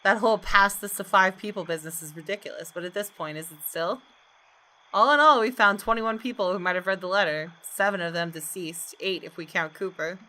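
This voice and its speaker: A woman's voice: medium pitch, fairly quick, sharp tone. A woman hosting a gaming video, reading visual novel narration aloud with dramatic expression.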